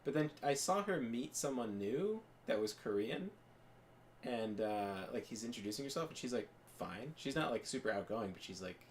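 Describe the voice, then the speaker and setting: A man's voice: mid-range voice, lively intonation, normal speed, slight background noise. A young man chatting casually as a podcast co-host, rambling with lots of fillers and restarts. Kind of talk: casual chat while gaming.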